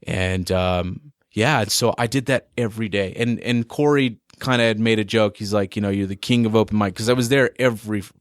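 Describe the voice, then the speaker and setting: A man's voice: lowish voice, a little fast, hardly any pauses, dry recording. Young male musician guest, loose rambly chat, dry close mic. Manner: rambling, fillers like "you know" and "man". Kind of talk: casual interview chat.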